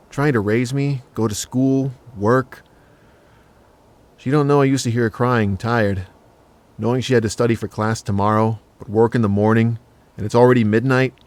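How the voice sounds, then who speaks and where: An actor playing a cheeky, big-hearted casual lover in an audio drama, with blunt, slangy, joking talk. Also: male voice, mid-range voice, moderate pace, natural voice. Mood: sincere.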